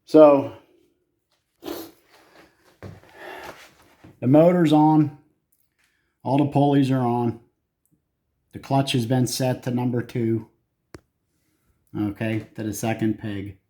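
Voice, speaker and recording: A man giving casual hands-on repair commentary, muttering to himself in short, halting phrases with some casual swearing. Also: medium pitch, steady tone, mellow. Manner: casually profane. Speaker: hobbyist repairer filming own repair. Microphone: handheld camera.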